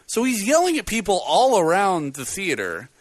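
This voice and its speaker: A man in casual chat, reviewing a movie with a loud voice, rambling and full of fillers. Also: high-pitched, normal speed, no echo. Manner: rambling, animated storyteller with many fillers. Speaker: podcast co-host reviewing a film.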